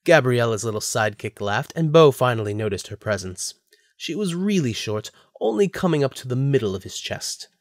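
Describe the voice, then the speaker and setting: A man's voice: medium pitch, very animated, normal speed, no room sound, studio quality. A man narrating a scripted story for an audio drama.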